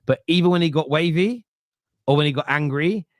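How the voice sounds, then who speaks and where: A man hosting a football podcast, casual chat that keeps correcting itself and counting stats out loud. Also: a little high, dry recording, clean studio sound. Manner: rapid, self-correcting, counts stats aloud. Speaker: podcast host.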